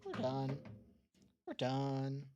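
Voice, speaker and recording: A woman's voice: very deep voice, huge pitch swings, extremely slow, mellow, muffled recording. A woman streamer talking expressively and with exasperation while playing a game on stream. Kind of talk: gaming livestream commentary.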